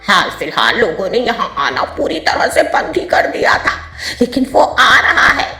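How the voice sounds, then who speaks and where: Bright, echoing woman as a mischievous ghost woman. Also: fairly high pitch, big pitch swings, measured pace, faint music behind, heavily acted. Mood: gleeful, gloating. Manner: taunting, theatrical, gloating. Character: mischievous gleeful female ghost who loves scaring travellers. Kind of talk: Hindi cartoon moral story voice acting.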